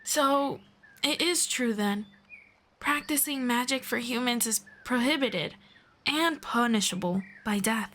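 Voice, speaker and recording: Woman playing a slow-speaking gentle forest elf. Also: on the high side, slight background noise. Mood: thoughtful, earnest. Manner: measured, gentle, formal storybook phrasing. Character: friendly, curious female elf of the forest, gently earnest. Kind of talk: roleplay audio drama, speaking one-on-one to the listener.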